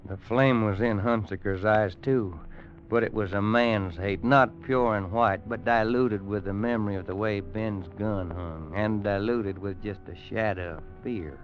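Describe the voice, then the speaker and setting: Muffled old radio western, low male voice, acted, older cowboy companion, folksy drawl, homespun storytelling, dramatic pauses. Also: fairly even intonation, over music, close to an everyday voice. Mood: tense, grave. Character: older plainspoken cowboy narrator, fond loyal companion. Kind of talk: 1950s radio western narration.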